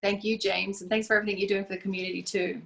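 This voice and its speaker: A woman answering interview questions as a business founder, measured and reflective, sometimes restarting a phrase. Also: medium pitch, little pitch movement, creaky, a bit bright, rough recording. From Australia. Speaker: guest, event company founder.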